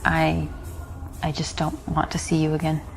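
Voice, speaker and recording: An actress voicing a polite, reserved young American woman in a dating game, with a low voice and short answers. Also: completely flat delivery, normal speed, vocal fry, faint music behind. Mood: firm refusal. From rural America. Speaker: woman being chatted up in a game.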